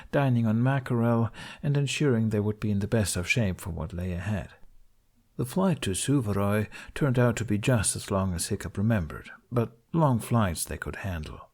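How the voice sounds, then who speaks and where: Male audiobook narrator, fairly low pitch, expressive scripted storytelling for fantasy fiction.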